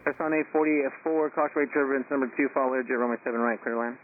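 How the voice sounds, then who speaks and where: A man's voice: medium pitch, steady tone, fast. A man making a clipped air traffic call over an aviation radio. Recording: narrow, noisy aviation radio transmission.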